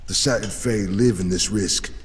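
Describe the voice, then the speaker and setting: Man acting a low, solemn warrior clansman. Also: slight background noise, lightly acted. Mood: grave warning. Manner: grave, portentous, deliberate. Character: solemn warrior clansman warning of dark magic. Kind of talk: fantasy audio drama acting. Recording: long hall reverb, music underneath.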